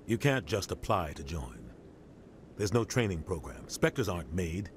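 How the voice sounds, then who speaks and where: An actor voicing a seasoned, pragmatic military officer in a video game, explaining with measured authority. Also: male voice, sits low, very animated, slight background noise. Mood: grave, measured. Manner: matter-of-fact, authoritative explaining.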